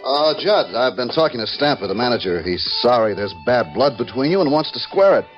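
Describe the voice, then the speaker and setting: An actor playing a brisk, conspiratorial lover and agent in an old-time radio crime melodrama. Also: male voice, mid-range voice, sing-song, close to an everyday voice. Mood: smooth, casual. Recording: dull vintage radio recording with music.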